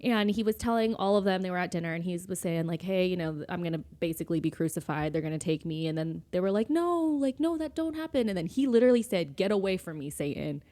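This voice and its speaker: Lower-pitched woman chatting casually. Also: expressive, fast, few pauses, dark tone. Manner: informal, tentative retelling peppered with "like" and "you know". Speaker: podcast co-host. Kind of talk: casual conversational discussion of faith.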